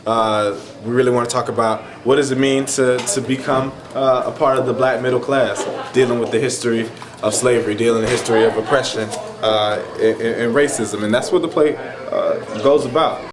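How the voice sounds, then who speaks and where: A man who works as a theater director, presenting informally and speaking straight to listeners, pausing mid-thought. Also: mid-range voice, fairly even intonation. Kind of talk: informal spoken introduction.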